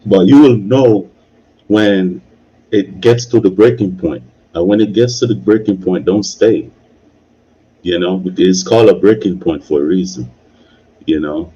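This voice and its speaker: A man with a low, dark and husky voice talks as host of a casual live discussion. He offers opinions and advice, circling back over his phrases for emphasis. The audio is a little dull and lo-fi. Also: a little slow. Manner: emphatic repetition, frequent "you know". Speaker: video creator hosting a discussion.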